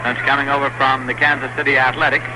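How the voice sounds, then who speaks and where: A man's voice: mid-range voice, flat delivery, fast talker. An American man calling baseball play-by-play in a 1960s radio style, on a noisy old broadcast. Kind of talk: 1960s radio sports commentary.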